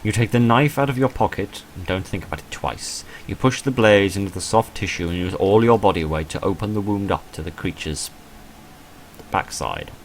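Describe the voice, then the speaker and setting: A man's voice: low-pitched, moderate pace, poor audio. A man reading visual novel text aloud in a dramatic storytelling way, with dry, casual asides as he plays. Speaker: gamer narrating a playthrough. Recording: noticeable background noise.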